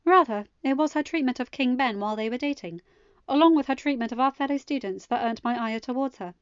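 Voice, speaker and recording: A woman's voice: high-pitched, quick pace, smooth, no echo, dull audio, pitched above the performer's own voice, natural voice. A woman voicing an outspoken young noblewoman in a storybook reading, composed, formal and pointed. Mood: pointed, assertive.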